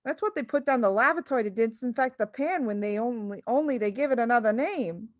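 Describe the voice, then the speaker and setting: Woman voicing a shrill, scolding wife and mother. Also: a little fast, no echo, studio quality, natural voice. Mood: matter-of-fact, scornful. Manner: shrieking, scolding.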